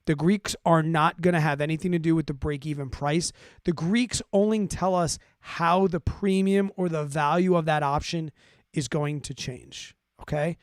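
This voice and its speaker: Higher-voiced man hosting a casual finance stream. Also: steady tone, moderate pace, smooth, no echo, clean studio sound. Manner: conversational, repeats check-ins like "right?" and "okay?". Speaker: host of a finance livestream answering listener questions. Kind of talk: casual explanatory talk to a live audience.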